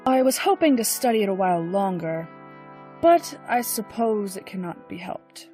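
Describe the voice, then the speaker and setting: A woman's voice: mid-range voice, very animated, normal speed, dark tone, faint music behind, natural voice. A woman playing a prim, bookish female mage with formal, precise wording in a fantasy audio drama. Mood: composed regret.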